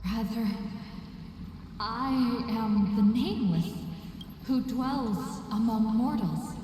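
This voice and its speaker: Slow, echoing solemn goddess. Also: female voice, on the high side, dark tone. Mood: solemn, serene. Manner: halting, grave, ceremonial phrasing. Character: awakened female deity, solemn, humble and serene. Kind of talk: video game voice acting. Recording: distant, cavernous reverb, faint noise.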